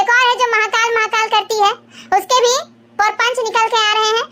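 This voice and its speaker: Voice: extremely high pitch, bright, forceful tone. A vlogger giving casual gossip commentary, mocking and scolding with taunting rhetorical questions.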